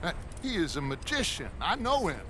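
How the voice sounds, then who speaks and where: Genial scheming outlaw leader, American, middle-aged, bright animated delivery, theatrical game drama. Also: male voice, high-pitched, moderate pace, a little hiss or hum. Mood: ingratiating, pleading. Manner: theatrical, smooth-talking, ingratiating. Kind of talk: video game dramatic acting.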